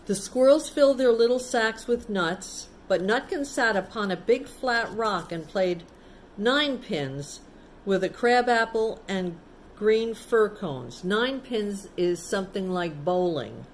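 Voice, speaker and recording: Lady teacher doing story time for little kids, real slow, chatty asides and questions, bit of background noise. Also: mid-range voice, expressive. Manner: simple explaining, often asking the young listeners questions. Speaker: teacher reading a picture book to children. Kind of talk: storybook read-aloud with chatty asides to children.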